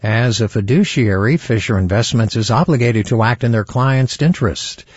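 Husky man reading radio news. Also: mid-range voice, a little mellow, dry recording, lo-fi sound. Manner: measured, announcer delivery. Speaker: radio newscaster. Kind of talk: scripted news and sponsor reads.

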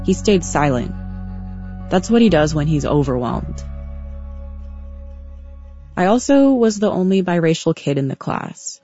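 A young woman in her twenties tells her own story in a calm, reflective, scripted read. Her voice sits a little low and sounds soft and mellow. The audio is dull and muffled, with faint music underneath. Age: 20s. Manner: reflective, measured. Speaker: narrator. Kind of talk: scripted personal narration.

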